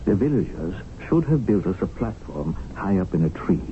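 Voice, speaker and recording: An actor playing an experienced English tiger hunter in colonial India, explaining things and easily exasperated, in a radio drama. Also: male voice, low-pitched, sing-song, normal speed. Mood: calm, instructive. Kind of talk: 1970s radio drama acting. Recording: narrow-band old radio broadcast, faint noise.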